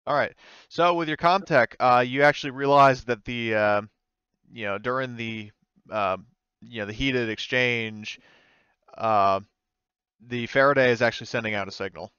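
A man improvising narration as game master of a tabletop game, speaking in a halting, informal way. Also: mid-range voice, bright, forceful tone, smooth, dry recording, muffled recording. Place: indoors, online game session.

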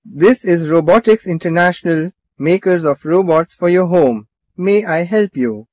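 A man's voice: high voice, expressive, a little slow, darkish tone, dry recording. An actor playing a stern customer service man at a robot company, formal and firm, in a children's cartoon. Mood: polite.

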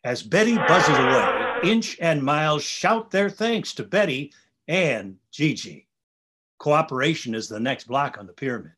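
A man reads a children's story with playful expression. His voice is slightly high and husky, and it swings widely in pitch as he tells the tale. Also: lively intonation, unhurried, a bit bright. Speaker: storybook narrator. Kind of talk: animated scripted children's storytelling.

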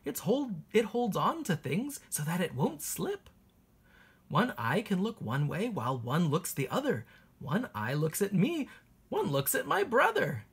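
Female storybook reader: unhurried, sing-song teacher delivery, strongly animated intonation, breathy husky tone. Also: mid-range voice. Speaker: teacher reading a children's book aloud. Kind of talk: expressive picture-book read-aloud for young children.

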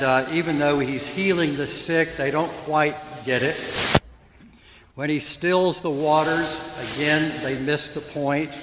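A man in his seventies, a priest giving a sermon and telling stories, often turning to the congregation with questions. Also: fairly high pitch. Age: 70s. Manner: gentle storytelling preacher who asks the congregation questions. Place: church. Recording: echoey church hall with hiss.

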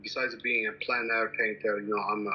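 A middle-aged man from Poland with a Polish accent, a native Polish speaker, reflecting haltingly on his work as a painter. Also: lowish voice, flat delivery, highs cut off, slight background noise. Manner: halting, searching for words. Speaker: landscape painter, interviewee. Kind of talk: reflective personal account of working methods.

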